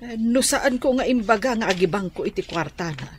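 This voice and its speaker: A woman plays a blunt aunt in an Ilocano radio drama, her voice mid-pitched. She speaks in a scolding, matter-of-fact way. A faint hiss runs under the recording. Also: animated, fairly quick, lightly acted.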